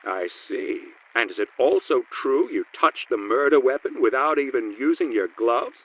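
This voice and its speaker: A man's voice: medium pitch, animated, moderate pace, husky, dull audio. Man playing a swooping, prying noir informant. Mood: prying, suspicious. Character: mysterious, prying male informant in noir story. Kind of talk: comic film noir radio play.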